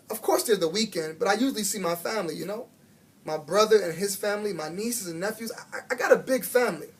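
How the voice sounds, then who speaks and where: A man puts on an exuberant, big-hearted janitor from a children's storybook. He is booming and folksy, chatting away like an old pal to a small friend. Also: darkish tone. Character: Aldo, big-hearted, jolly chatty male school janitor.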